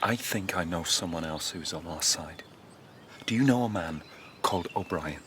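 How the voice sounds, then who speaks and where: An actor in a radio drama playing an earnest, haunted rebel, speaking with confiding intensity. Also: male voice, deep voice, moderate pace, a bit bright, slight background noise. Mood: urgent, confiding. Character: earnest, haunted male dissident, hungry for rebellion. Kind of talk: naturalistic radio drama acting.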